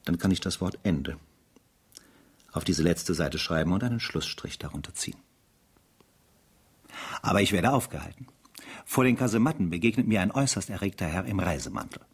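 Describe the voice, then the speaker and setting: Deep, husky, grave army captain. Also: male voice, expressive, exaggerated voice. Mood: sombre, factual. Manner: measured, grave, formal. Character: sober, dutiful French army captain recording an execution. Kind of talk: radio drama narration in diary form.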